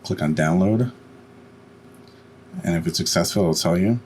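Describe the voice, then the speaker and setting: Very deep-voiced man giving a tutorial. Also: normal speed, darkish tone, slight background noise. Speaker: tutorial host. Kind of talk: step-by-step software screencast narration.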